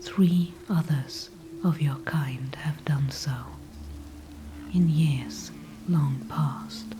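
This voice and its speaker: A woman's voice: low-pitched, background noise. A woman whispering as an ancient dryad. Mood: calm, knowing. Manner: soft unvoiced whisper throughout; formal, unhurried, old-fashioned phrasing. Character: ancient female tree spirit, calm and wise. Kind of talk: ASMR audio roleplay.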